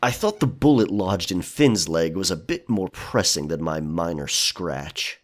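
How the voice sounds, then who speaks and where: Audio drama pirate, performed by a man: stoic and sardonic, curt delivery, very deep and expressive. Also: a little slow. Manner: nonchalant, teasing, curt.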